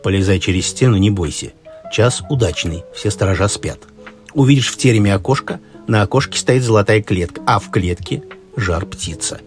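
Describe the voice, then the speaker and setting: A man's voice: fairly low pitch, expressive, normal speed, lightly acted. A man voicing a loyal grey wolf from a fairy tale, folksy and instructing. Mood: commanding. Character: gruff, helpful grey wolf, commanding and sympathetic. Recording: music behind the voice.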